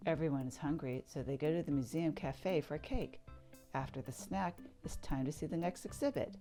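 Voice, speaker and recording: A woman reading a children's picture book aloud, narrating in an expressive sing-song way. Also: deep voice, moderate pace, soft background music. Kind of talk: read-aloud storybook narration.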